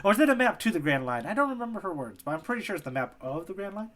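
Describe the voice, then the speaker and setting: A man's voice: sits high, sing-song, racing, fairly bright tone. A man co-hosting a casual manga readalong podcast, talking in an informal, enthusiastic way. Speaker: podcast co-host.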